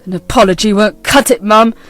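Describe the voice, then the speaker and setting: A woman's voice: medium pitch, a little fast, no echo, soft background music, pitched below the performer's own voice, close to an everyday voice. A woman voicing an angry adult son who scolds his mother harshly.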